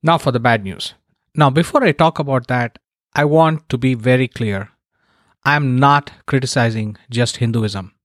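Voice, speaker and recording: A man's voice: mid-range voice, a little slow, no echo, studio quality. A man from India hosting his own podcast, delivering a scripted monologue in an earnest, emphatic way with wry asides. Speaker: podcast host.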